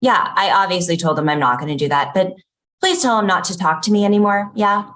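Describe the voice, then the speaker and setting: A woman's voice: mid-range voice, a little fast, a bit bright, close to an everyday voice. A woman playing a controlling, self-important ad agency boss in a comedy table read, curt and commanding with a touch of pomposity. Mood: stern.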